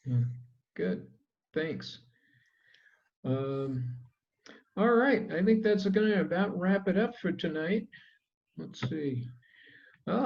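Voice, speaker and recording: A man hosting an online club meeting in a relaxed, chatty way, with plenty of fillers. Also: high voice, vocal fry. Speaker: meeting host. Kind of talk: casual meeting wrap-up. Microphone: video call. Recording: dull lo-fi call audio.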